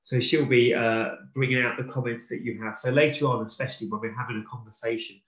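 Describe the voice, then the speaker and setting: A man's voice: medium pitch, fairly even intonation, fast, fairly bright tone, echoey room, muffled recording. A man from Norfolk co-hosting a casual live podcast, chatty and self-deprecating, with a stuffy nose from a cold. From Norfolk, England. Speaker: podcast co-host.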